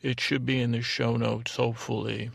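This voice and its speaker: A man hosting a podcast, talking in a meandering, halting way, drifting off on tangents and repeating himself. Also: mid-range voice, flat delivery, vocal fry, slightly rough, dry recording, clean studio sound. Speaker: podcast host. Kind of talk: rambling, tangential solo podcast talk.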